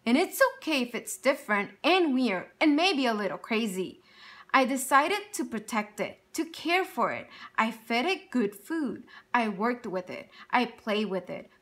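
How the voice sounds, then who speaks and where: A woman teacher reading a picture book aloud to children, telling it expressively and stopping to ask them questions. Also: fairly high pitch. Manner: expressive storytelling with questions for young listeners.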